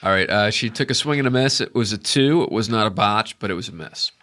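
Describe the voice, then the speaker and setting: A congested man narrating as dungeon master, halting with many fillers. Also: lowish voice, bright, forceful tone. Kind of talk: narrating a tabletop game.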